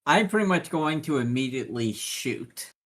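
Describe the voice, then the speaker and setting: A man's voice: fairly high pitch, lively intonation, moderate pace. A man chatting casually as a player in a tabletop game, often hesitant and asking questions.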